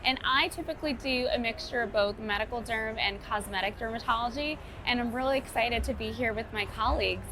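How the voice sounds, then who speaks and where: A woman dermatologist on a live panel, explaining in a friendly, clear way. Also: a little high, brisk, hardly any pauses. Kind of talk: panel discussion. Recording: live event with clear noise.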